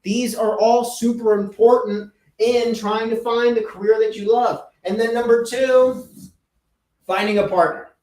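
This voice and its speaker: A man's voice: very high voice, steady tone, measured pace, darkish tone, reverberant, a little hiss or hum, poor audio. A man hosting his own show, giving earnest, emphatic motivational talk and repeating his key words for stress. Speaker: podcast host and life coach. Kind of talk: casual motivational monologue.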